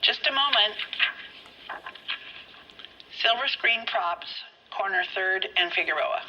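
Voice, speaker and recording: An actress playing a polite, efficient 1940s telephone operator, heard over a phone line in a game. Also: female voice, medium pitch, lively intonation, slow. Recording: dull telephone line with noise.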